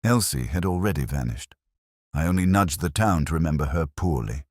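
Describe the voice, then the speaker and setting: A man voicing a composed, genteel village woman, low-pitched. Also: expressive, normal speed, husky, dry recording, clean studio sound, heavily acted. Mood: quiet confession. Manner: quiet, restrained, dignified.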